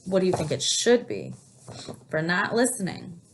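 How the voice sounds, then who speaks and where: A woman reading a picture book aloud in an expressive storytelling style, stopping to put questions to young listeners and explain things. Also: lowish voice, moderate pace, slight background noise, rough recording.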